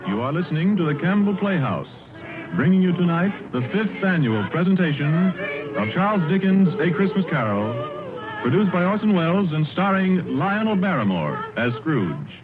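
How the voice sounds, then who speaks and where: Formal radio announcer guy, crisp and lively, thin 1930s sound with music. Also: high-pitched, moderate pace, narrow, tinny audio. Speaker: network announcer.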